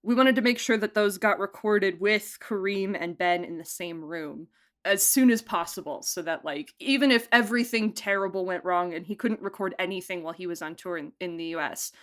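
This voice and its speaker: A woman's voice: mid-range voice, a little fast. Chatty, laughing woman producer. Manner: chatty, animated, laughs often, uses "like" a lot. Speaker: producer and director of an audio drama. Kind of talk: casual interview conversation.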